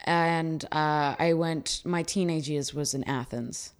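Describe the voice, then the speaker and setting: Low-voiced woman from Greece. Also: fairly even intonation, slow pace, smooth. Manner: easygoing, talkative, rambling with "you know". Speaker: musician guest. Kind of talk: casual interview chat.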